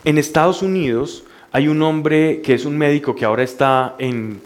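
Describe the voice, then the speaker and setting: A man's voice: on the high side, moderate pace. A man, likely in his thirties, giving a motivational talk in an emphatic, didactic way, repeating phrases for stress.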